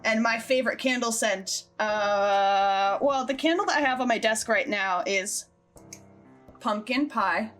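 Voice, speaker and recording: A woman's voice: fairly high pitch, measured pace, a bit bright. A woman hosting a casual Q&A chat, informal and bubbly in manner. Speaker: host and show creator. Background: background music.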